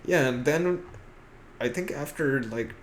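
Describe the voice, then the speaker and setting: Casual man chatting over clear background noise. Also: mid-range voice, slow. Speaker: podcast co-host. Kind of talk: casual chat about films and games.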